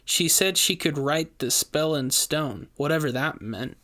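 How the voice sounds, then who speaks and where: A man's voice: on the high side, a little slow, pushed and bright. A man voicing a bold, defiant woman, the hero's fiery companion in an audio story, urgent and feisty. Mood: nervous recounting.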